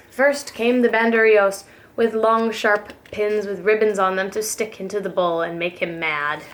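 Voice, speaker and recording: A woman reading a children's storybook aloud as a preschool teacher, with gentle, expressive emphasis. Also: medium pitch.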